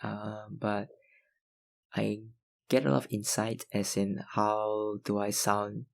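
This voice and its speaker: A young man, an ASMR YouTuber, chatting casually through viewer questions, hesitant with many fillers and soft laughs. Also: lowish voice, flat delivery, slow pace, vocal fry. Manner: hesitant, lots of ums and likes, soft laughs. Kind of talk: casual Q&A chat.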